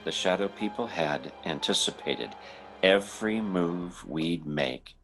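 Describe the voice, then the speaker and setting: An anxious young man in an old-time radio horror drama, telling his tale in a halting, stammering way. His pitch swings widely and the pace is unhurried. Faint music plays behind him. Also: animated, a bit bright, exaggerated voice. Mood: grave narration.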